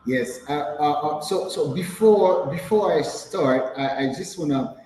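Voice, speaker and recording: A man's voice: fairly high pitch, measured pace, darkish tone, reverberant, a little hiss or hum, low-quality recording. A man from Haiti with a French Haitian accent, a guest speaking hesitantly on a video call. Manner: hesitant, many fillers and restarts. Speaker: guest entrepreneur.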